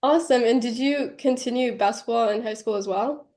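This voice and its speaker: A woman's voice: fairly high pitch, a little fast, darkish tone. A congested woman hosting a podcast interview and putting questions to her guest.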